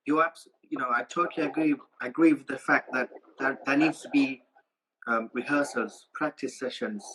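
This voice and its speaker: A man hosting an interview, agreeable and halting, asking a guest questions. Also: mid-range voice, steady tone, a bit bright, husky, poor audio. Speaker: interview host. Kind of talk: casual interview chat.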